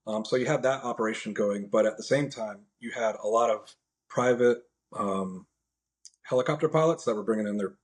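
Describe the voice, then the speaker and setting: An American man as a podcast guest, giving a casual, measured and somewhat halting first-hand account. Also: on the low side. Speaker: podcast guest, National Guard air traffic controller.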